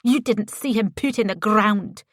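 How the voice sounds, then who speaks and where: A woman voicing a spiteful older mother with a gravelly smoker's voice, mocking and gleeful as she taunts. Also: mid-range voice, unhurried, a bit bright, dry recording, studio quality, close to an everyday voice. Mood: indignant. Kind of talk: audiobook character voice.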